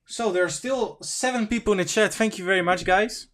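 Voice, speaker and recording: A young man hosts a casual livestream in English, which is not his first language. His voice sits a little high, and his delivery is halting, with restarts and slow, careful reading. He speaks into a desk microphone at his computer. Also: fairly bright tone. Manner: halting, self-correcting, reads slowly and stumbles. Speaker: livestream host. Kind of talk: casual livestream chat, reading articles aloud.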